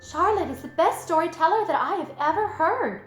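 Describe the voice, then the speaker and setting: A woman voicing an eager, imaginative, stubborn young farm girl in a storybook, earnest and excitable. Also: very high voice, brisk, pitched above the performer's own voice. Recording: roomy echo, music underneath.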